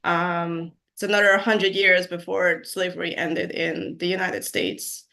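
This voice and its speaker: A woman's voice: on the low side, a little slow, creaky, bright, forceful tone. A woman in her forties from Guyana, a nurse practitioner telling her story as an interview guest, with many fillers and easy laughs. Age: 40s. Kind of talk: interview conversation.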